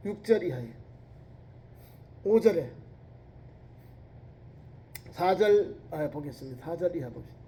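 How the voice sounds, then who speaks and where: A middle-aged male pastor with a regional Korean accent delivers a sermon in a soft, mellow voice. He leans on rhetorical questions and swells to near-shouts when quoting scripture. The sound carries the roomy echo of a church sanctuary. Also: extremely high pitch. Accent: Korean regional dialect. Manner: emphatic preaching with rhetorical questions, rising to shouts on quoted scripture.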